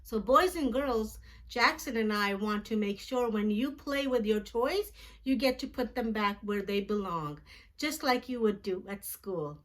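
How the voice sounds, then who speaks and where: A woman with a fairly high voice reads aloud for young children. She takes her time, phrasing each part deliberately and expressively, as a storytime host does. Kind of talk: children's picture book read-aloud.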